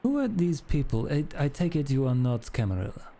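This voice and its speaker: A man acts out a shady male vampire wheeler-dealer for a tabletop game. The voice is gravelly and mellow. He is eager to haggle and stumbles hesitantly through his offers. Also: mid-range voice, very animated, fairly quick. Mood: eager, bargaining.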